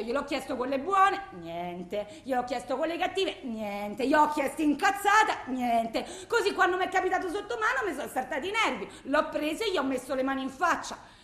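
A woman's voice: very high-pitched, fairly bright tone, heavily acted. An actress playing a distraught, volatile blind wife with a Roman accent, rambling and self-justifying in agitated messages to her lawyer in a radio monologue. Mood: angry, indignant. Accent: Roman Italian. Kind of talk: theatrical radio monologue, framed as phone messages. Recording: roomy, echoing sound.

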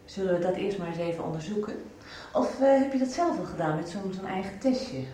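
A woman acting a calm, frank doctor in a radio soap. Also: mid-range voice, fairly quick, lightly acted. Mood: casual, practical. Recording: echoey room, faint noise.

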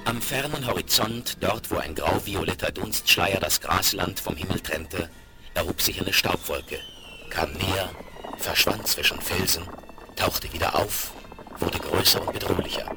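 A man's voice: sits low, a little slow, gravelly, over loud music. A man narrating a Western audio drama in a dramatic, scripted storytelling style. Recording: very noisy 1970s vinyl rip.

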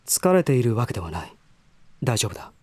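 An actor playing a troubled, reserved young gentleman in a voice drama, hesitant, introspective and formal in manner. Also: medium pitch, sing-song, fairly quick, breathy edge, no echo. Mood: guarded, hesitant. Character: troubled, reserved young gentleman brooding over his half-brother. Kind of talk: Japanese voice drama acting with inner monologue.

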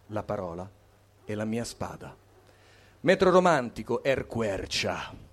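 A man's voice: lowish voice, very animated, takes it slowly, slight background noise. A man speaking and reading live as a performer at a poetry event. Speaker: poetry reader.

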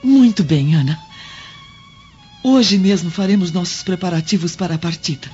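An actress playing a dignified, resolute Roman senator's wife in a radio drama, formal and composed. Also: female voice, on the low side, normal speed, dark tone, breathy edge, soft background music. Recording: muffled lo-fi recording.

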